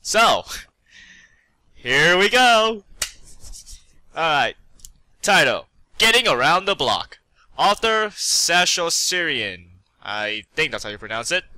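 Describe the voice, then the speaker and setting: A man's voice: fairly high pitch, sing-song, slow pace, long pauses, bright, forceful tone, dry recording. A man reading fan fiction aloud as a storyteller, with dramatic narration.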